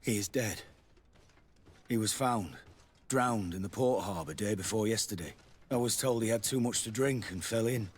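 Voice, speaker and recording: Husky, worldly army quartermaster. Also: male voice, lowish voice, normal speed. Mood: apologetic. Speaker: military quartermaster. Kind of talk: video game voice acting.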